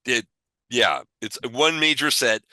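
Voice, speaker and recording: A man's voice: mid-range voice, expressive, slow, bright, forceful tone, dry recording. A man co-hosting a casual podcast, chatting conversationally and adding emphatic agreement. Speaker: podcast co-host.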